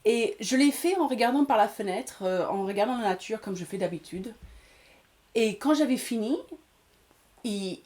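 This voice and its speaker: Mellow-voiced woman in her forties. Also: on the high side, animated, moderate pace. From Washington State, USA. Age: 40s. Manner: hesitant, many fillers, storytelling. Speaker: guest, English teacher and actress. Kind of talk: casual interview.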